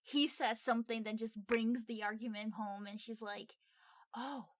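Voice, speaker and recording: A woman's voice: a little high, fairly even intonation, measured pace, no echo. A woman co-hosting a film review podcast in casual chat, giggly and gushing in manner.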